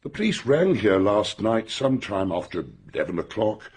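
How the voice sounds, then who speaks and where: A blunt, well-bred English gentleman in a radio mystery. His voice is low and very husky, swinging widely in pitch as he speaks in a clipped, forthright way. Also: sing-song, normal speed. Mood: grave, forthright. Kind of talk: radio drama acting.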